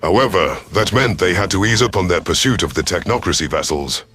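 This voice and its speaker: Deep-voiced male sci-fi story narrator. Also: expressive, a little fast, fairly bright tone, soft background music. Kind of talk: scripted first-person sci-fi narration.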